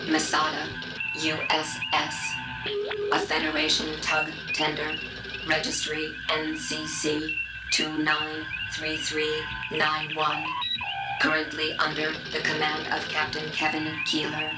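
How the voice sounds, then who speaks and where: Casting: impassive female ship computer, slow clipped recitation, distant, game sci-fi. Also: deep voice, monotone. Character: emotionless female starship computer reading data. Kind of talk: science-fiction game voice acting. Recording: echoey, distant, loud music behind.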